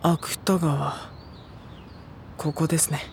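沈んだ語り口の男子高校生. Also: male voice, fairly high pitch, flat delivery, drawn-out words, vocal fry, slight background noise, heavily acted. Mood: subdued, grave. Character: subdued high school boy narrating his story. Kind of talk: scripted first-person drama narration. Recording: music under the voice.

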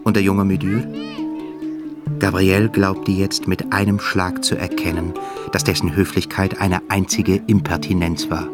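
A man's voice: low voice, level tone, unhurried. A man narrating a literary radio play in a scripted storytelling style. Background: loud guitar music.

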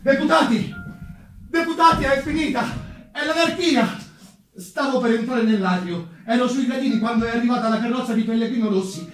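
An actor playing an agitated government minister, an urgent and halting eyewitness in a theatrical monologue. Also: male voice, extremely high pitch, voice pushed up. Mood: alarmed, declaiming. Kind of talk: stage monologue. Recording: echoey, distant, theatre-like recording.

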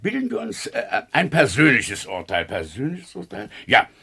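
A man's voice: medium pitch, huge pitch swings, normal speed, gravelly, pushed and bright, breathy edge, exaggerated voice. An actor playing a dithering, self-important older school inspector in a comic radio play, hesitant and repeating his own phrases. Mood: hesitant, fussy.

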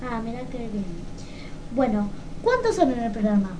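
A woman's voice: a little high, sing-song, moderate pace. An Argentine woman asking questions as an informal radio interviewer. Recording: noisy room, distant microphone.

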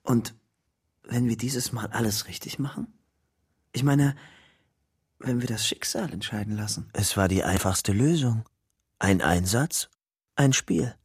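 An actor playing a weary young ex-convict on the run in a German crime radio drama, haunted but stubbornly hopeful, in a subdued, confessional way. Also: male voice, fairly low pitch, unhurried, pauses often, breathy edge, no echo, clean studio sound. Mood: coaxing, hopeful. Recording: music bed under the voice.

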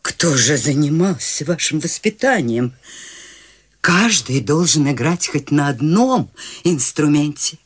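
An actress playing an eccentric, life-loving old woman in a radio play, talking in a warm, coaxing way. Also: deep voice, animated, slow pace, breathy edge, exaggerated voice. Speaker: Maude, lead role in radio play.

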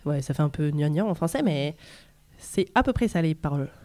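A woman's voice: low-pitched, expressive, brisk, dark tone, dry recording. A woman hosting a casual radio music quiz in an informal, playful and self-deprecating way. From France. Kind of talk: casual chat, reading lyrics aloud. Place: radio studio.

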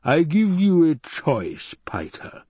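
A man voicing an obese, sinister male baron with a rumbling basso voice, slow and taunting, in an audiobook. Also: wildly swooping pitch, mellow, husky, no room sound, full character voice. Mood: gloating. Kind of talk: audiobook character voice.

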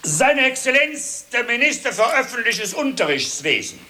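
A high, swooping, ceremonious usher's voice in a radio farce, announcing in formal style over faint noise. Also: male voice, very animated, normal speed, bright, forceful tone, full character voice. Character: shrill, ceremonious academy usher announcing guests. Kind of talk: farcical radio play acting.

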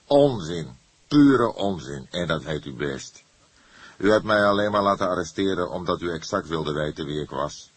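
A man's voice: low-pitched, lively intonation, normal speed, slightly rough, no room sound. A man acting an agitated, defiant young suspect. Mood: indignant, heated. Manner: emphatic, emotional. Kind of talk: acted audiobook dialogue.

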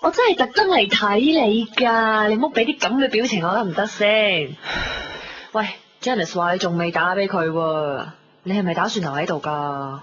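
A woman's voice: medium pitch, normal speed, a little hiss or hum, close to an everyday voice. An actress playing a frank, worldly, teasing woman in a Hong Kong Cantonese radio drama, nagging with sighs and slang. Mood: teasing, concerned. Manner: blunt, sighing, animated nagging with slang. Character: frank, worldly, teasing female friend scolding and helping. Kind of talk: 1990s radio drama acting. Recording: dull recording with music behind.

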